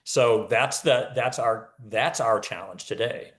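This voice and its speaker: A man speaking as a guest author in a casual interview, halting and thoughtful with a wry touch. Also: mid-range voice.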